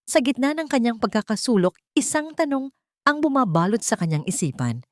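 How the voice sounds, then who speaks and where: A woman's voice: fairly low pitch, a little mellow, no room sound, studio quality. A woman storyteller reading a scripted story in a dramatic, suspenseful manner. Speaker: storyteller, narrator.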